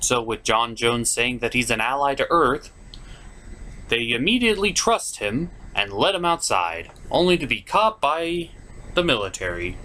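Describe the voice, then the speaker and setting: A man narrates a comedic scripted review as the show's host. His delivery is slow-paced but animated, with a lot of movement in pitch. The tone is sarcastic throughout. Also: slight background noise. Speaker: reviewer and puppet show host.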